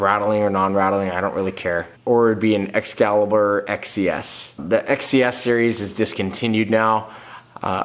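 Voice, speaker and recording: Guy in his 30s with a cold, kinda nasal, lowish voice, just chatting about fishing gear at home. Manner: plain-spoken, restarts often, reads comments aloud. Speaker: fishing channel host. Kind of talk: casual vlog answering viewer comments. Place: home room, indoors.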